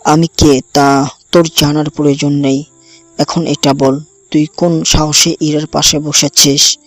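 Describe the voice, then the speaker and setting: A man's voice: a little high, little pitch movement, a little slow, no room sound, soft background music, natural voice. A man playing a menacing, jealous young college rival in an audio drama. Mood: threatening.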